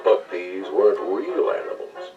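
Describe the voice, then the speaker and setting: A man reading scripted children's read-along narration with expressive storytelling delivery. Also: sits low, a little slow, far from the mic, highs cut off, over music.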